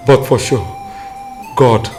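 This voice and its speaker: A man's voice: medium pitch, fairly even intonation, slow, a little mellow, faint music behind, lightly acted. An actor playing a weary father who speaks solemnly of his coming death. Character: older dying father, solemn and resigned.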